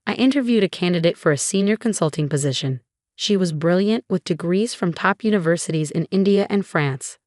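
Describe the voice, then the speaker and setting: A woman narrating a first-person story in an even, measured reading voice. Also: on the low side, no echo, studio quality.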